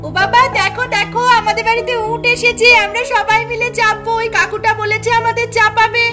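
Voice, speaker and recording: Woman as an extremely high-pitched, insistent little girl. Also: level tone, fairly quick, sharp tone, faint music behind. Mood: excited. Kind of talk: amateur doll-story voice acting.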